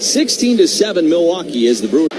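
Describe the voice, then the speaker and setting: Man calling baseball over loud noise. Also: on the high side, big pitch swings, quick pace. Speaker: baseball play-by-play radio announcer. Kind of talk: live baseball radio commentary. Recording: noisy live radio broadcast.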